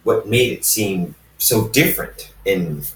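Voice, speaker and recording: A man reading fan fiction aloud as an amateur narrator, halting and often going back to repeat phrases. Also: deep voice, lively intonation, a bit bright. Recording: roomy echo, distant from the mic.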